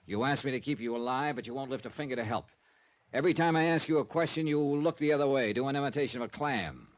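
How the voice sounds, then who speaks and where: An actor playing a wry, hard-boiled insurance investigator in a 1950s radio drama, with punchy, clipped delivery. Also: male voice, mid-range voice, fast talker, no room sound, close to an everyday voice. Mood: confrontational. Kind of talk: 1950s radio detective acting and narration. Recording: old radio recording with music.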